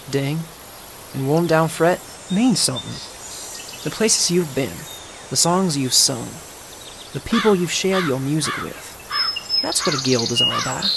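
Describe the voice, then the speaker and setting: A young man performing commercial voiceovers in a studio, with a hushed, intimate and measured delivery. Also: a little high, gravelly, noisy background. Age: young adult. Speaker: voice actor. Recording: music bed behind. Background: music beds.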